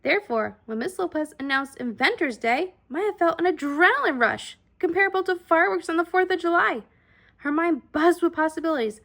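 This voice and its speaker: A woman reading a children's story aloud in an expressive, deliberate style with dramatic emphasis on key words. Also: sits high. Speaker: children's read-aloud host.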